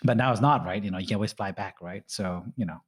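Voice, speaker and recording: A man's voice: on the low side, quick pace, breathy edge. A man in his thirties hosting a podcast, giving casual advice in a rambling way full of "you know" and "right?". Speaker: podcast host.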